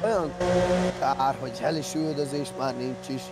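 A man chatting casually and with slang and swearing while playing an online role-play game. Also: mid-range voice. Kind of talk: casual gaming chat. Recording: loud car engine noise behind. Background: video game car engines.

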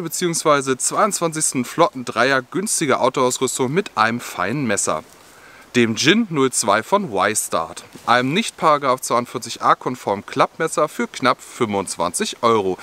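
A man's voice: mid-range voice, bright, forceful tone. A young man hosting an outdoor gear vlog, filmed outdoors in a forest, explaining products in a friendly, explanatory way. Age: young adult. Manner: friendly, explanatory, with scripted-sounding product details. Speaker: outdoor gear channel host.

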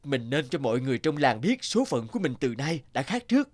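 A man's voice: mid-range voice, big pitch swings, fast, natural voice. A man voicing a burly, gullible young woodcutter with a boastful, hot-tempered streak in a cartoon. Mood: earnest, proud. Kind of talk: cartoon voice acting.

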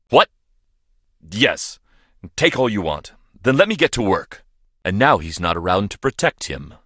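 A brusque lab director from a mystery game, barking orders in a very low but animated voice. His tone is bright and forceful. The recording is dry and close. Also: no room sound, heavily acted. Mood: irritated. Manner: brusque, bossy. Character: stern male lab director, curt and impatient. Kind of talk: video game voice acting.

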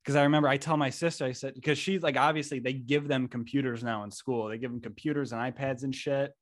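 Chatty young podcast host. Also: male voice, mid-range voice, fairly even intonation, fast. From Niagara Falls. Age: 20s. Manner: chatty, lots of filler likes. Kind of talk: casual interview chat. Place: small room indoors. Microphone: desk microphone.

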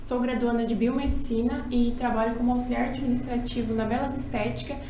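A 21-year-old Brazilian woman answering interview questions in a relaxed, slightly hesitant way. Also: on the high side, a little fast. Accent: Brazilian Portuguese. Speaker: pageant candidate. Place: office studio. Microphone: desk mic on stand. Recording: roomy, distant, faint hum.

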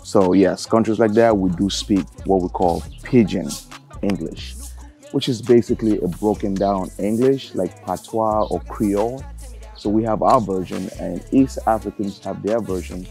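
Young male travel vlogger from Cameroon, very low gravelly voice, easygoing and rambling. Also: normal speed, faint music behind. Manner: relaxed, rambling, lots of fillers. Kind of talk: casual vlog talk to camera.

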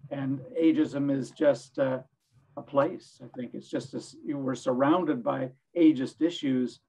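An older man with a hoarse voice, speaking earnestly as a panelist on a webinar from his home study over a video call. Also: medium pitch, steady tone, unhurried, mellow, rough recording. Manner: earnest, rambling with restarts. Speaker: executive director, panelist.

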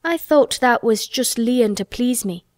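A woman voicing an imperious, decisive young boy in a storybook, giving orders and easily excited. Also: medium pitch, normal speed, no room sound, studio quality, natural voice. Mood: exultant, eager.

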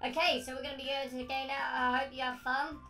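Female gaming host, high and bright, excitable commentary, echoey distant recording. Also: quick pace, soft background music. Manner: excitable, prone to shrieking exclamations. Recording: roomy echo, distant mic.

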